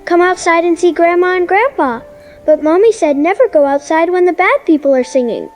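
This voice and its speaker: Scared little girl, extremely high, innocent childlike delivery, faint music behind. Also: brisk, darkish tone. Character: frightened, obedient little girl caller. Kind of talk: radio drama phone-in.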